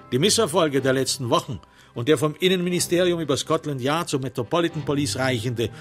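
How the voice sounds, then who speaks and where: A man's voice: mid-range voice, a bit bright. A man narrating a literary crime radio play in a sardonic, theatrical storytelling manner.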